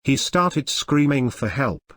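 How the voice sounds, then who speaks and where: A man's voice: mid-range voice, big pitch swings, slow pace, a bit bright, dry recording, studio quality. A man narrating a scripted moral story for a cartoon. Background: faint music.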